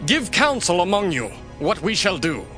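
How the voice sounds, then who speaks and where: A man's voice: high voice, sing-song, normal speed. An actor playing a young rebel prince who gives commands to his counselors in a dramatized scripture reading. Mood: commanding. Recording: music bed behind voice.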